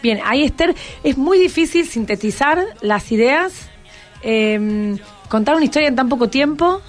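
Higher-voiced Argentine woman hosting radio. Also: expressive, moderate pace, faint music behind. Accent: Argentine, Buenos Aires. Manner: encouraging, prompting with tag questions. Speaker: radio host. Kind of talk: casual interview.

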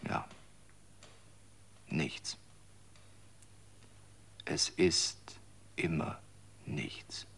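A man playing a weary, bored figure who speaks slowly and sometimes sinks into whispers. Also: a bass voice, vocal fry, husky, exaggerated voice. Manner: weary, flat, slow, drops to whispers. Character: bored man longing for any excitement. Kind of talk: radio drama acting.

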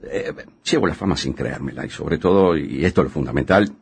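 An actor playing a bumbling, boastful would-be film man in a radio comedy, with an Argentine accent, stammering and repeating himself in a raspy voice. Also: very deep voice, fairly quick, exaggerated voice. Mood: boastful, evasive. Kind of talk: comedic radio play acting.

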